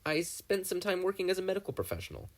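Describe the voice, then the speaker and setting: A gentle, helpful teenage boy, as a male reader puts him on for a storybook-style reading. He is soft-spoken and reassuring, and the voice is raised above the reader's own low register. His delivery stays light and lively. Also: fast. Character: gentle 17-year-old boy, helpful and calm. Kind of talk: podfic fan fiction reading.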